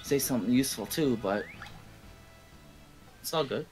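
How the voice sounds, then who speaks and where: A man's voice: mid-range voice, moderate pace, a little mellow. A man musing in a rambling, slangy way during a casual stream chat. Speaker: stream guest. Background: game music.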